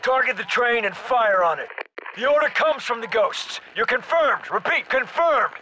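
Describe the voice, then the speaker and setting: An actor playing a gruff, commanding middle-aged special forces soldier in a military shooter game, giving clipped, urgent orders. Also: male voice, very high voice, expressive, moderate pace, pushed and bright, a little hiss or hum, full character voice, poor audio.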